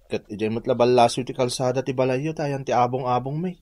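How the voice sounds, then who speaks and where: An actor with an Ilocano accent playing a meek, apologetic, put-upon poor husband in a radio drama. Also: male voice, medium pitch, fairly quick, a little mellow, lightly acted.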